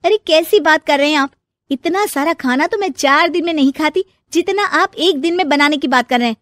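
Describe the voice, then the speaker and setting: Woman voicing a high-pitched young daughter-in-law. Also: brisk, a bit bright, no echo, studio quality. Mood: complaining, defiant. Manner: chatty, exclaiming, homely. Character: young overworked daughter-in-law, eager then weary and grumbling.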